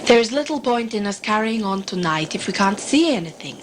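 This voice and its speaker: Clear background noise sits behind a woman acting as a determined traveller in a sci-fi audio play. Her mid-pitched voice is guarded, formal and curt. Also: expressive, a little fast, natural voice. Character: determined, guarded female client on a jungle expedition. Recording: noisy amateur recording with background ambience.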